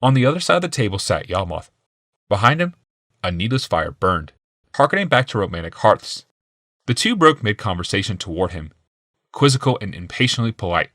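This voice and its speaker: Low-voiced, dramatic male narrator. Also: sing-song, fairly quick, a bit bright, no echo, clean studio sound. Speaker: audiobook narrator. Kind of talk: dramatic scripted fantasy narration.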